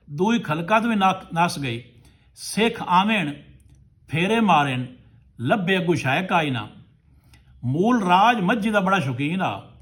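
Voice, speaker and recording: A man's voice: sits high, a bit bright. A man telling a folk tale to listeners as a storyteller, in short rustic phrases.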